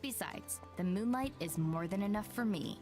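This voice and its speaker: A woman's voice: mid-range voice, lively intonation, normal speed, darkish tone, natural voice. An actress as assured woman guide over music. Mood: assured, easygoing. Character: self-assured local woman guide, easygoing rule-breaking shopkeeper. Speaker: visual novel character. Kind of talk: scripted game dialogue. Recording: game audio under background music.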